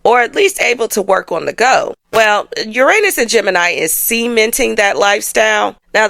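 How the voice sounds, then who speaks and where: A woman's voice: mid-range voice, gravelly, a bit bright, dry recording. An American woman giving casual astrology commentary as a show host, speaking in a colloquial, slangy, emphatic way and often checking in with listeners.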